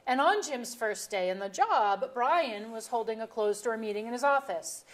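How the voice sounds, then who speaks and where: An actress plays a middle-aged wife and mother with a fairly high voice. She is blunt and teasing, delivering her lines dryly in a naturalistic stage comedy reading. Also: lively intonation, moderate pace, lightly acted. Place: auditorium stage.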